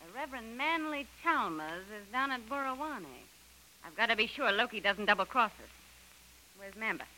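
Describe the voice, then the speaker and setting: A woman's voice: a little high, expressive, normal speed, bright, forceful tone, poor audio. A woman playing a domineering, scheming plantation boss, commanding and scolding, in an old radio adventure. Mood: cold, scheming. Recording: hissy old radio recording.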